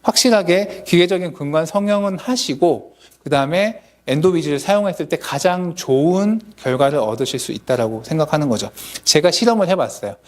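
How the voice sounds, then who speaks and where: A man's voice: on the high side, animated, a little fast. A man, a dentist, lecturing colleagues at a symposium in a conversational, explanatory manner.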